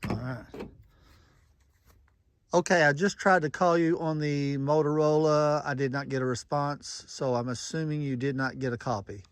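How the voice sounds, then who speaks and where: A man's voice: on the high side, fairly even intonation, normal speed, clean tone, no room sound. A man giving casual, plain-spoken running commentary and making radio check calls in a folksy way. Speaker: vlogger testing walkie-talkies.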